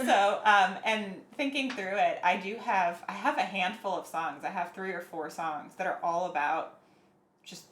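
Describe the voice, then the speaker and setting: A young woman singer-songwriter, soft-spoken, talking reflectively in a casual interview. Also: medium pitch, moderate pace. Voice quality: soft, airy voice. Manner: reflective, thoughtful, with frequent fillers. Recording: echoey room, faint noise.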